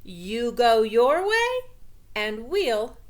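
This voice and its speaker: A woman's voice: a little high, very animated, extremely slow, full character voice. A woman voicing a nagging, exasperated mother in a children's storybook, firm and emphatic.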